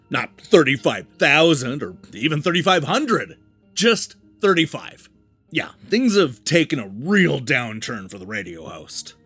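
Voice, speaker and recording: A man's voice: on the high side, fairly bright tone. A man reading a scripted video game review as narration, with an emphatic, polished presenter's delivery. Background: background music.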